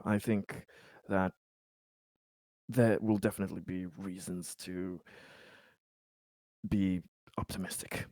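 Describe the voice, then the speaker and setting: Man playing halting, courteous company man, deepish voice. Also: vocal fry, darkish tone, no echo. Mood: polite, encouraging. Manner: halting, repetitive, formal and courteous. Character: Conrad, polite, hesitant, optimistic company man at a space colony. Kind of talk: tabletop roleplay in character.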